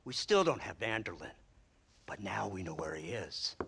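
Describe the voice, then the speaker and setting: A man's voice: sits low, sing-song, creaky, fairly bright tone, a little hiss or hum, exaggerated voice. An actor playing a smug, menacing government lawman with an American accent in a game cutscene, talking with condescending, mock-polite wryness. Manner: condescending, mockingly polite, drawn-out wry phrasing. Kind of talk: dramatic video game cutscene acting.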